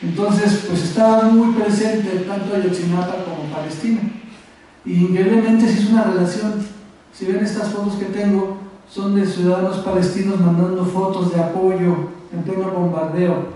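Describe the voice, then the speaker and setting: Male activist panelist, Mexico City accent, high mellow voice, halting delivery, recorded far off in an echoey auditorium. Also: fairly even intonation. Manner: halting, with repeated words and trailing phrases. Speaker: activist speaker on a conference panel. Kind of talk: informal conference presentation. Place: university auditorium. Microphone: distant from the speaker. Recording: echoey room, distant mic, faint noise.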